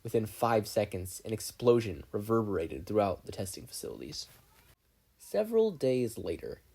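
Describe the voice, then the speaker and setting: A narrator reading a fan fiction story aloud in an expressive scripted style. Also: mid-range voice, normal speed, vocal fry, husky. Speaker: podfic narrator.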